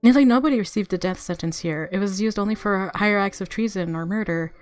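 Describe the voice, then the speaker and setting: A woman narrating a visual novel aloud as a game streamer, with expressive reactions and music underneath. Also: medium pitch, quick pace.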